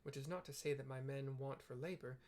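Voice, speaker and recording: A man's voice: mid-range voice, steady tone, fairly quick, darkish tone, natural voice. A man voicing a busy, self-important young commander with a clipped, formal, pompous way of talking. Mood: brisk, haughty.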